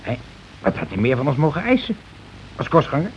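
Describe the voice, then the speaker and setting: An actor playing a domineering, self-justifying working-class husband in a radio play, talking in an insistent, colloquial, repetitive way. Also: male voice, a little high, wildly swooping pitch, brisk. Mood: scheming, persuasive. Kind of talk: Dutch radio play acting. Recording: dull, noisy old radio recording.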